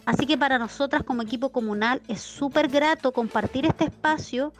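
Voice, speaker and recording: A Chilean woman gives a prepared informational statement as a programme coordinator. Her voice is a little higher than usual. Faint music sits in the background. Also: a little fast.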